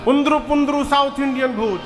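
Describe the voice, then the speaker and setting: A man's voice: extremely high pitch, steady tone, normal speed, in a large hall. A man telling a devotional story as a sermon, joking and drawing responses from his listeners. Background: devotional music with instruments.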